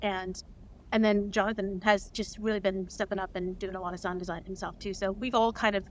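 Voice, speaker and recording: Female guest, creator, middle pitch, enthusiastic and chatty. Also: steady tone, fairly quick, a little hiss or hum. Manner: enthusiastic, frequent ums. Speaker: guest, audio drama co-creator and sound designer. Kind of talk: casual interview answer.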